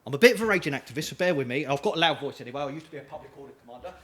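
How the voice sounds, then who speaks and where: Lively energetic male conference speaker. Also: fairly high pitch, racing, breathy edge. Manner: energetic, asks the audience questions. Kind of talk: conference talk with audience questions. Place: conference hall.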